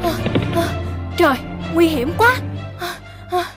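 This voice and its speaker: A woman voicing a lost, frightened wild deer in an animated fable, anxious and exclamatory. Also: very high voice, takes it slowly, full character voice. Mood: panicked. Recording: loud music over the voice.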